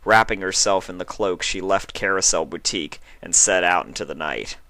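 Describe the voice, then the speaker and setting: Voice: deep voice, normal speed, sharp tone, no echo. A narrator reading a scripted story aloud as a fan fiction reader.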